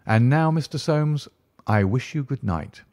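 A man voicing a calm, incisive male detective who speaks in a measured, commanding way. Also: medium pitch, no room sound, studio quality, pitched above the performer's own voice, lightly acted.

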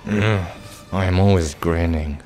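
A man's voice: sits low, a little slow, darkish tone, soft background music. A man giving casual, playful video game commentary, joking and muttering reactions as he plays. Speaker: Let's Play host. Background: video game sounds.

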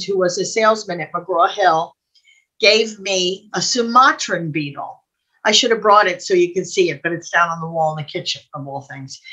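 A woman's voice: medium pitch, bright, forceful tone, poor audio. An older woman telling anecdotes casually on a video call, chatty and digressive. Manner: chatty, digressive, quotes people as she tells stories. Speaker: guest storyteller. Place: home room, indoors.

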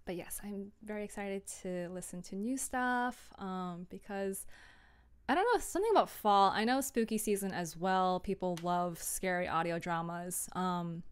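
Clear-voiced young woman chatting on a livestream. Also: mid-range voice, normal speed. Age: young adult. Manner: chatty, rambling, giggly, apologetic. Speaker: livestream host, audio drama creator. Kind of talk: casual livestream chat with viewers. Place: small room indoors at home.

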